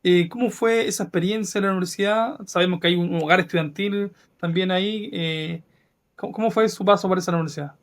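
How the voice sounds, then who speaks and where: A man's voice: high voice, steady tone, fairly quick. A man with a Chilean accent hosting an interview podcast, speaking courteously and formally to his guest. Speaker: podcast host.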